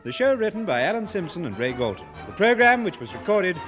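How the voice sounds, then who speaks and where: A man's voice: high voice, sing-song, a little fast. A man with a British accent reading scripted 1950s radio closing credits as programme announcer. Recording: old radio, loud music and audience. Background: music and audience.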